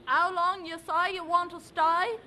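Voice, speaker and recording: An actress playing a blunt, money-minded innkeeper with rough colloquial speech in a radio drama. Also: female voice, very high-pitched, steady tone, measured pace, noisy background.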